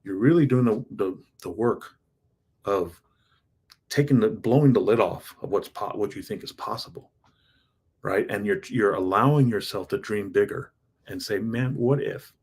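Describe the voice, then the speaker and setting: A man's voice: lowish voice, moderate pace, darkish tone, breathy edge. A man in his thirties talking in an earnest, rambling way about his big goals, often restarting his sentences. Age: 30s. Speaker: self-improvement vlogger. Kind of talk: casual motivational monologue.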